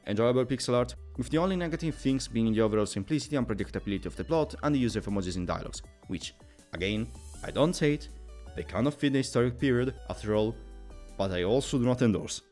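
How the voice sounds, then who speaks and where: A man's voice: medium pitch, animated, fast talker, a little mellow, faint music behind. A man reading a scripted video game review in formal, wordy phrasing with dry asides, as a host.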